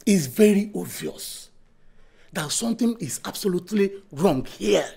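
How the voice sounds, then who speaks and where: An actor playing a stern palace elder who forcefully demands answers. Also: male voice, high-pitched, expressive, unhurried, gravelly, slightly rough, full character voice.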